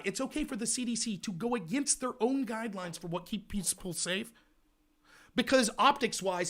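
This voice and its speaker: A man hosting a live political show, ranting in a loud, indignant voice. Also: high-pitched. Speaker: livestream show host. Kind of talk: heated political commentary.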